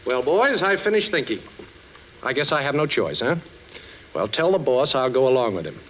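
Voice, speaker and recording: An actor playing a suave, sarcastic ex-thief turned detective in a 1940s radio drama, smooth-talking and unflappable. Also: male voice, mid-range voice, big pitch swings, moderate pace, a bit bright. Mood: wry, cool. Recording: muffled, hissy old 1940s radio recording.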